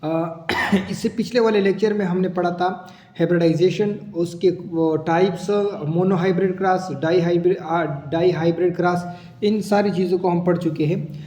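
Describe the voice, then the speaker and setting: High-voiced man teaching with a sore throat. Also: fairly even intonation, normal speed, warm tone. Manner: explains step by step with rhetorical questions. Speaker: biology teacher. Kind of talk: classroom lecture. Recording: echoey room with long reverb.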